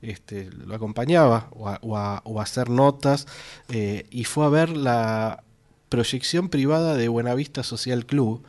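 A man, talking as a radio guest. His voice sits at an ordinary middle pitch, and he circles back on his words with fillers as he recalls his early working years. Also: a little slow, darkish tone. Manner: hesitant, reminiscing, many fillers and restarts. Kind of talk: casual radio interview chat.

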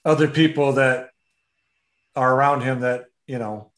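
A man's voice: mid-range voice, fairly even intonation, a little slow, a crowd in the background. A man working as an interviewer in a casual chat, conversational and agreeable in the way he talks.

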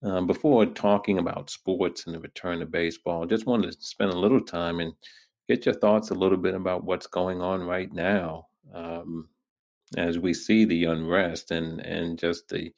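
A man in his fifties from New York hosts an interview. His very low voice is gravelly with fry, and he keeps it flat and level. He speaks in a measured, thoughtful way. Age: 50s. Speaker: host. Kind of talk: radio interview.

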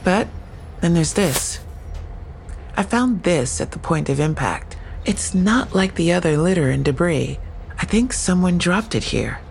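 Gravelly, probing detective. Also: male voice, fairly high pitch, expressive, unhurried, a little hiss or hum, low-quality recording. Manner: questioning, insistent. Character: male detective, probing and persistent.

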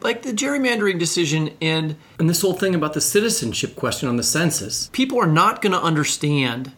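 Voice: mid-range voice, fairly bright tone. A podcast host in casual discussion, thinking aloud tentatively and correcting themselves along the way.

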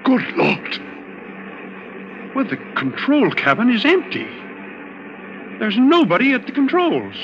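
An actor playing a decisive young navy commander in clipped, authoritative 1940s radio style. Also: male voice, very high voice, wildly swooping pitch, takes it slowly, pauses often, full character voice. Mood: grave. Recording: muffled old radio broadcast with loud backing sound.

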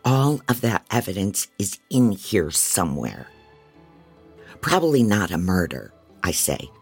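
A man's voice: sits low, big pitch swings, unhurried, vocal fry, husky, faint music behind, heavily acted. A man playing a frantic, disorganized private investigator who narrates an audio drama in a rambling, wry, self-doubting way. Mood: frazzled. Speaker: narrator and lead actor. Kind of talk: first-person dramatic audio fiction narration.

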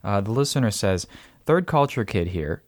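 A man hosting a casual podcast, reading out a listener's letter and talking thoughtfully with many pauses and fillers. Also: lowish voice, animated, dry recording. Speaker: podcast host.

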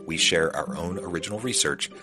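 Deep-voiced male podcast host. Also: a little fast, loud music behind the voice. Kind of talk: podcast interview.

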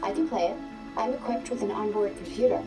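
Woman acting a polite robot nurse over loud music. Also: medium pitch, quick pace, close to an everyday voice, poor audio. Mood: obliging, matter-of-fact. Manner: formal, clipped, machine-like phrasing. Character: Nurse Helen, polite female robot nurse, literal and obliging. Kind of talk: audio fiction acting.

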